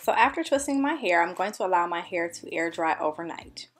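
A woman's voice: lowish voice, lively intonation, brisk, soft background music. A young woman beauty vlogger narrating a scripted tutorial voice-over in an upbeat, enthusiastic manner. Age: young adult.

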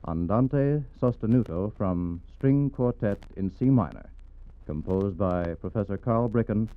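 A man announcing formally and in measured phrases in 1940s radio style, on an old broadcast recording. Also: on the low side, lively intonation, narrow, tinny audio.

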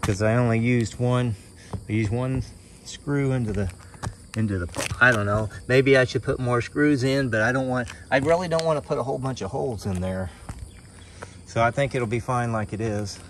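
A man's voice: mid-range voice, moderate pace, a bit bright. A middle-aged American man giving a casual, unscripted show-and-tell outdoors in a plain-spoken, folksy way. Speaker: hobbyist presenter. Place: outdoors in a yard and on a roof. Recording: outdoor recording with insect noise.

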